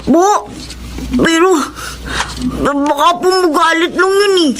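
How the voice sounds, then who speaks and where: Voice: very high voice, slow, a bit bright, slight background noise, heavily acted. An actor playing an earnest, obedient young boy in a Filipino radio drama, pleading and respectful. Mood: timid, hesitant. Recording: music behind the voice.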